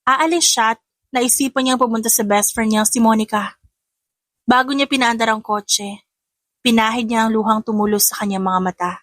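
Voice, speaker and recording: A woman with a fairly high voice narrates a scripted story. Her reading is dramatic storytelling. The recording is dry, with no room sound. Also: fairly even intonation, normal speed, fairly bright tone, no room sound.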